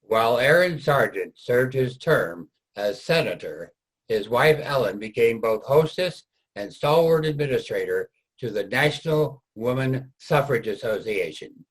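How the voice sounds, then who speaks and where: Guy narrating a history stage reading, kinda husky voice, medium pitch. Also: expressive, unhurried, rough recording. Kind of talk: scripted narration.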